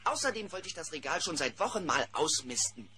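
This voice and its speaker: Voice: mid-range voice, very animated, fairly quick, pushed and bright, slight background noise. An actor playing an eccentric, laid-back artist bear with a breezy, theatrical manner in a children's cartoon audio drama.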